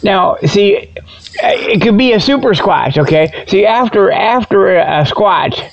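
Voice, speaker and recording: A male radio host rambles through a comic monologue in a folksy, informal way. His voice is fairly high and gravelly, and the sound is a bit dull and lo-fi. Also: animated, unhurried. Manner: folksy, informal, repeatedly tags phrases with "okay".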